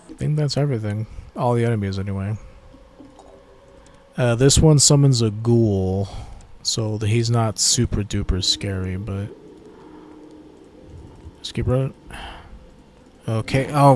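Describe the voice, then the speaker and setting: A man's voice: on the low side, a little hiss or hum. Tired-voiced man in casual game commentary. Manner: laid-back, swears at mishaps, slangy. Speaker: gameplay commentator. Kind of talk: casual let's-play commentary.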